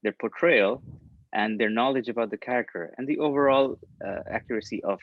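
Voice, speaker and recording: A man explaining the judging criteria in a quick, matter-of-fact way. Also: medium pitch, no echo, phone-quality sound. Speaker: judge.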